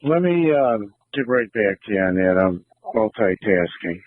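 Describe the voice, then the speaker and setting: A male police communications operator with a lowish voice talks informally, with halting pauses. He is heard through a dry, narrow, tinny radio channel. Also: expressive, no echo.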